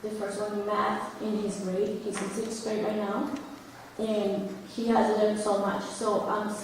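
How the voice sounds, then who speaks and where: Hoarse mother testifying, voice nearly gone. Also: mid-range voice, level tone, a little slow, noisy background. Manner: apologetic, heartfelt. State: hoarse, losing her voice. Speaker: parent testifying. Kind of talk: public hearing testimony. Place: large hall, indoors. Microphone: public microphone. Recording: echoey hall from far off.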